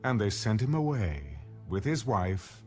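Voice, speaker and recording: A man's voice: lowish voice, very animated, a little slow, darkish tone. A man narrating scripture as a scripted, dramatized reading. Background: music.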